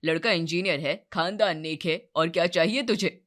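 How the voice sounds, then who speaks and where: A woman's voice: lowish voice, normal speed, a bit bright, pitched below the performer's own voice, natural voice. A woman plays a middle-aged father in a cartoon moral tale, dropping her voice well down. He is kindly, concerned and questioning. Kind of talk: moral-story cartoon voice acting.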